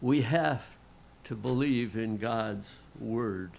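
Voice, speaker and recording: Middle-aged man, lay pastor, slow and earnest sermon, slightly froggy throat. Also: medium pitch, a little mellow, a little hiss or hum. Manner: slow, earnest, folksy preaching with throat clearing. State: frog in his throat, clearing it.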